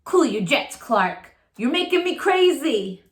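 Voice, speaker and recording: A woman's voice: sits high, sing-song, takes it slowly, roomy sound, full character voice. A woman voicing an exasperated, easily alarmed young boy mackerel in a storybook, crying out dramatically.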